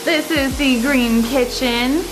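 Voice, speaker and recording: Excited girl in her 20s filming herself, highish voice, lots of ums, music under it. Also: slow, low-quality recording. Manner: bubbly, excited, filler-heavy. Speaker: travel vlogger. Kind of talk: casual vlog chat. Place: rental house indoors and forest outdoors. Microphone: handheld camera at arm's length.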